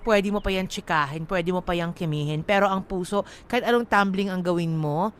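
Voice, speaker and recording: A woman's voice: fairly low pitch, fairly quick, a little hiss or hum. A Filipino woman hosting radio, giving love advice in a chatty, emphatic way and dropping in English phrases. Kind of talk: casual radio chat.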